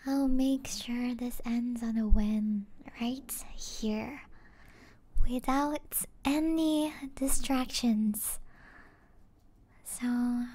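A young woman playing an affectionate, teasing gamer girlfriend in a soft-spoken ASMR roleplay, breathy and often half-whispered, with hesitant pauses. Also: fairly high pitch. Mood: soothing, coaxing. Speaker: roleplay voice actor.

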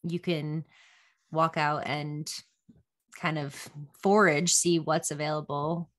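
A young woman co-hosts from home over a laptop webcam, her voice very low for a woman. She chats in long run-on sentences and fills them with "like". The tone is friendly and casual. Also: fairly even intonation, slow pace, fairly bright tone. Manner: chatty, lots of "like", run-on sentences. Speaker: co-host. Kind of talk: casual video-call conversation. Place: home room, indoors. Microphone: laptop webcam on a video call.

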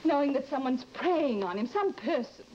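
A woman's voice: sits high, animated, moderate pace, a little mellow. A woman playing an anxious, suspicious young wife who is imperious with servants, in an old-time radio horror drama. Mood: agitated, troubled. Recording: dull old radio recording with hiss.

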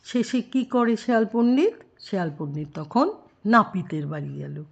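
A woman telling a children's story expressively, with drawn-out emphasis and rhetorical questions. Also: medium pitch, lively intonation, vocal fry, husky, dull audio.

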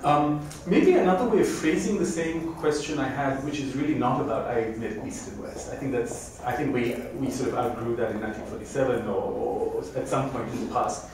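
A man's voice: medium pitch, fairly quick, few pauses, distant mic. Academic male moderator in an echoey hall. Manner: thoughtful, academic, asks framing questions. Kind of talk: interview questions. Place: lecture room on a stage. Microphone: handheld mic. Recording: echoey room, recorded from afar, faint noise.

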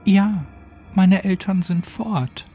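A man's voice: high voice, slow, voice pushed up. A vengeful little girl from a horror audiobook, acted out by a male narrator. She puts on sweet innocence with cold menace underneath. Music plays behind the voice. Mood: sugary sweet. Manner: feigned innocence, dark threatening undertone.

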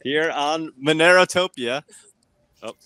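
A man's voice: fairly high pitch, a little slow, clean tone, no room sound. A middle-aged man filming himself in a grocery store, chatting eagerly to viewers and interviewing the owner through an earphone mic. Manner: excited, laughing, talking to camera. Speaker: show correspondent. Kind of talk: casual vlog interview. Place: grocery store checkout, indoors. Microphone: wired earphone mic.

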